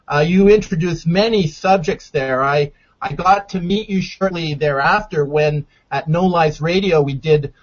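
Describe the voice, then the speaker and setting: Measured male radio host, lo-fi sound. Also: on the high side. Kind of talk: radio interview. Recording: dull lo-fi sound.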